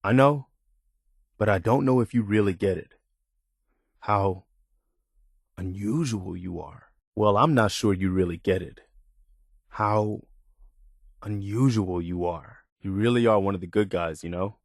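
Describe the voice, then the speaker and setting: An actor playing an earnest, weary militia soldier in a video game, loyal and humble, with a sincere, plain-spoken manner. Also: male voice, lowish voice, measured pace, long pauses, no echo, clean studio sound. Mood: heartfelt, sincere. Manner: sincere, plain-spoken, hesitant when opening up. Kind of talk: video game character dialogue.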